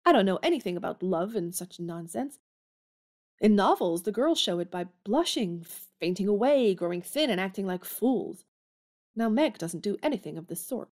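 A woman playing Jo, a spirited, blunt teenage girl in an amateur audio drama, talking quickly with sudden exclamations. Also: mid-range voice, lively intonation, darkish tone, natural voice. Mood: earnest.

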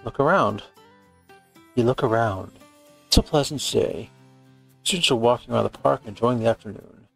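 A man's voice: mid-range voice, normal speed, vocal fry, pauses often, a bit bright, soft background music. A man hosting a game playthrough, reading visual novel text aloud and adding dry, joking commentary. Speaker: gaming video host.

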